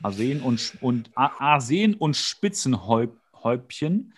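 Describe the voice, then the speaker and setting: A man co-hosting a podcast, casually and comically retelling an episode in an animated way with exasperated, slangy outbursts. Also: mid-range voice, a little slow, slightly rough. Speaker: podcast co-host. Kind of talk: casual comedic episode recap.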